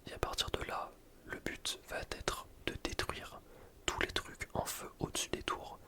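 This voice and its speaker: A man commentating on gameplay in a breathy, unvoiced ASMR whisper, informal and explanatory. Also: unhurried. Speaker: gaming video creator. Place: voice-over recorded separately from gameplay.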